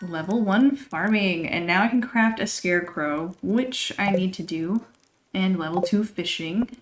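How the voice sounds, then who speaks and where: A chatty woman, somewhat hoarse from losing her voice, rambling through casual gameplay commentary with frequent laughs. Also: fairly low pitch, a little slow. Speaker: let's play host. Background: game music.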